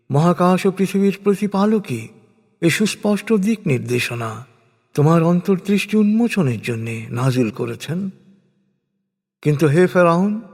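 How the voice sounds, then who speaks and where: A man's voice, a little higher than most, rings with long hall reverb. He narrates translated scripture in a solemn, scripted style, very slowly. His phrasing is measured and deliberate, with clear pauses between phrases. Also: a little mellow.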